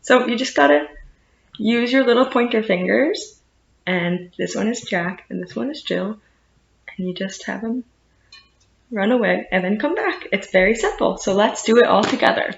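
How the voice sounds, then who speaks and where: A woman hosting storytime as a children's librarian, expressive and encouraging as she reads and explains to little ones. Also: medium pitch, moderate pace, creaky. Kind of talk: storytime read-aloud and teaching rhymes to children. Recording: echoey room, slightly muffled sound.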